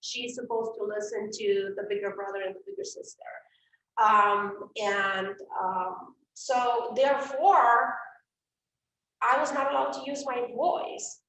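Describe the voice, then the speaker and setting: A woman's voice: a little high, slow, pushed and bright, slight background noise. A middle-aged woman from Romania with a Romanian accent, giving an earnest live talk to an online event audience, with frequent fillers and repeated words. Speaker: event speaker and coach. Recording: roomy, distant from the mic.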